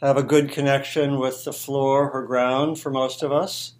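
A man teaching meditation, giving gentle guided instructions in halting, spaced-out short phrases. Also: mid-range voice, flat delivery.

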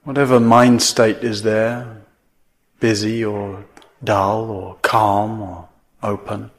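A man teaching a guided meditation in measured phrases, with long pauses between them. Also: fairly low pitch, level tone.

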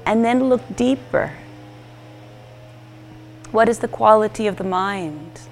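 A woman teaching a guided meditation, speaking in gentle, unhurried instruction with short, spaced phrases. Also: medium pitch, lively intonation, slight background noise.

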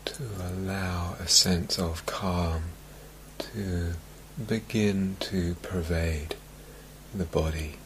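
A man with a low voice leads a guided meditation. His delivery is very slow, calm and gentle, broken into short phrases. A steady background noise with a slight hum sits under the recording. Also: low-quality recording. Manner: calm, gentle, unhurried with long phrasing gaps. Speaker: meditation teacher. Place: meditation retreat talk, recorded live.

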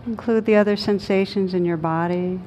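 A woman leads a guided meditation as a teacher, speaking slowly and soothingly with long pauses. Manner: slow, calm, soothing delivery with long pauses. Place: live group session in a room. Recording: dull lo-fi sound with rumbling noise.

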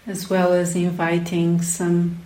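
A woman leading a guided meditation as a teacher, speaking in short, unhurried phrases with long pauses between them. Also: fairly low pitch, monotone, warm tone. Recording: roomy, echoing sound.

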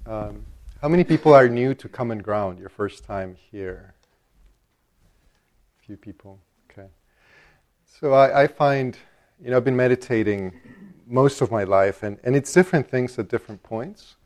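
A man from El Salvador giving a relaxed, conversational dharma talk to a meditation group. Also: mid-range voice, normal speed, pauses often. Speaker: meditation teacher.

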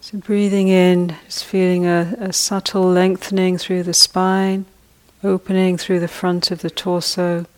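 A woman leads a guided meditation in a low, steady voice that barely rises or falls. She speaks very slowly and softly, leaving long pauses between her gentle instructions. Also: low-quality recording. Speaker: meditation teacher.

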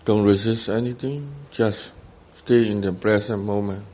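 A man with a Burmese accent, a meditation teacher, giving guided instruction in short simple phrases with long pauses between them. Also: lowish voice, fairly even intonation, warm tone. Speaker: meditation teacher, Buddhist monk.

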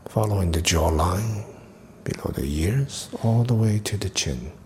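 An extremely deep-voiced man leads a guided meditation at a very slow pace. His delivery is soft and breathy, in short phrases separated by long pauses. Some faint room noise sits behind the voice. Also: animated. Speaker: meditation teacher.

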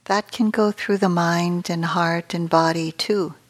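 A woman meditation teacher leading a guided meditation in a gentle, unhurried way with long pauses, her voice soft and turning breathy as phrases end. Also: fairly low pitch, steady tone.

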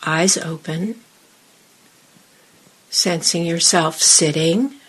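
A woman with a slightly low voice leads a guided meditation. She speaks very slowly, in short measured phrases, and leaves long pauses between them as a teacher giving instructions. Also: a bit bright, breathy edge, poor audio.

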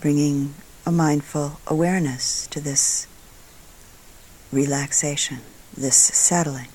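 A woman meditation teacher leading a guided meditation in a soft voice that sometimes trails into a breathy near-whisper, calm and deliberate, with long pauses between short phrases. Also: deep voice, rough recording.

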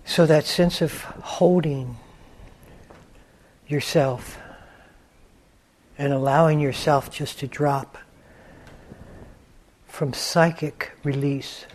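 A man teaching a guided meditation in a calm, gentle manner, leaving long pauses between phrases. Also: fairly high pitch, slight background noise. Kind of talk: guided meditation, unscripted instruction.

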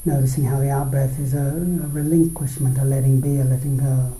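A man teaching guided meditation, speaking in short, unhurried phrases with pauses between them. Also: mid-range voice, flat delivery, mellow. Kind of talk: guided meditation, dharma talk. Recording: roomy, echoing space.

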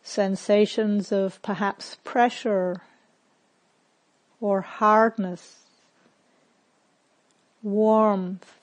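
A woman meditation teacher leading a guided meditation in short, measured phrases with long pauses. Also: mid-range voice, darkish tone, poor audio.

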